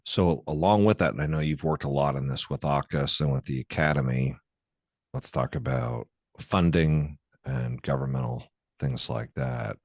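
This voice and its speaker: A man's voice: rumbling low, moderate pace, no echo, studio quality. A man hosting a medical podcast, asking long, searching questions with some restarts. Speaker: podcast host, surgeon interviewer. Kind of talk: conversational podcast interview.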